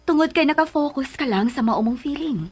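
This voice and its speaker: A concerned mother in a radio drama. Her voice is high and very melodic as she coaxes and reasons. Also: expressive, brisk. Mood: cautioning. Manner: coaxing, admonishing. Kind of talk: Filipino radio drama acting.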